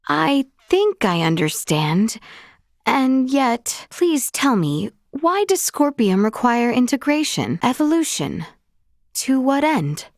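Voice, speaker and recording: Formal, high-voiced young noblewoman. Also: big pitch swings, slow pace, dry recording, clean studio sound, exaggerated voice. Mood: polite, inquisitive. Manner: formal, old-fashioned courtly phrasing, precise. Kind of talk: video game character dialogue.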